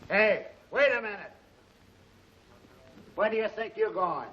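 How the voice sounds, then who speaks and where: An actor playing a suspicious, needling gangster in a 1940s film serial, blunt and slangy. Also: male voice, high voice, lively intonation, measured pace. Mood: suspicious, challenging. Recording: distant, muffled, faintly noisy 1940s soundtrack.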